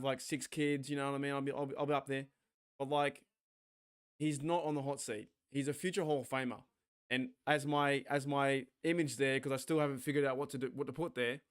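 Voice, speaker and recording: An Australian man hosting a sports watch party stream, rambling excitably, swearing and talking back to his chat. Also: medium pitch, clean tone. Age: 40s.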